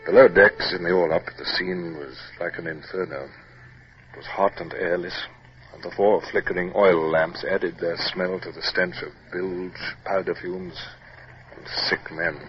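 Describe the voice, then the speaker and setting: An actor playing a weary, dutiful British naval captain in a 1950s radio drama, speaking formally. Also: male voice, low voice, steady tone, a little slow. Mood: grim narration. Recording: noisy muffled old radio recording.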